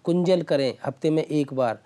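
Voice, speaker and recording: A man's voice: a little high, normal speed, dark tone. A man speaking as a doctor on a health call-in show, giving step-by-step advice in an instructive way.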